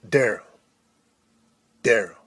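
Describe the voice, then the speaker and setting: Man as a smooth, coaxing dog, low-key. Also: slow, a bit bright. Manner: coaxing, wordy, insistent. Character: smooth-talking, pleading dog wanting food. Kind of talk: comic animal voice-over.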